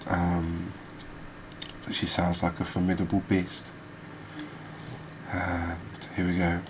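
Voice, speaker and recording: A man hosting a gaming video, giving soft ASMR commentary in a halting, hesitant manner. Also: sits low. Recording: steady background noise.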